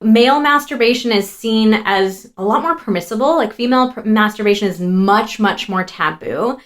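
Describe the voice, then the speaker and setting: A woman in her thirties co-hosting a podcast, talking earnestly and warmly about shame, with many "like" and "you know" fillers. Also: on the high side, normal speed. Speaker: podcast co-host, sex therapist. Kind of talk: casual podcast chat.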